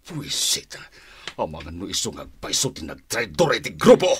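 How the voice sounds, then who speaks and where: Deep, husky Filipino gang boss. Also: male voice, huge pitch swings, moderate pace, full character voice. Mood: vengeful. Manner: menacing. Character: vengeful, ruthless boss of an armed gang. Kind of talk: radio drama acting.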